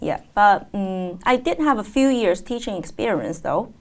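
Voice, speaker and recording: A woman in her thirties, born in China and speaking English as a second language, answering an interviewer's questions in a thoughtful way with frequent pauses and fillers. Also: mid-range voice, expressive. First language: Chinese. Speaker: guest, teacher.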